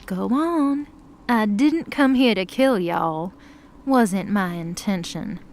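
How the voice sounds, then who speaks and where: A woman's voice: medium pitch, lively intonation, takes it slowly, darkish tone, a little hiss or hum. A woman playing an embittered, defiant demon of hell in an audio drama, speaking with passion and slangy sarcasm. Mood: cocky, taunting. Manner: impassioned, sarcastic, casual slangy speech. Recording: prominent music under the voice.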